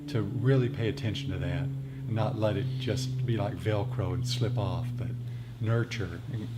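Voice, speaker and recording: A man co-leading a meditation group, explaining things off the cuff and sometimes searching for words. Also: on the low side. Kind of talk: unscripted explanatory group discussion. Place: group meditation meeting room. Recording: faint background room noise.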